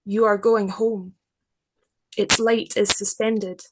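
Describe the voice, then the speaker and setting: A woman poet speaking in a deliberate, careful, literary way, as in a reading of her own work. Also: mid-range voice, level tone, very noisy. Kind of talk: measured poetry reading and reflective explanation.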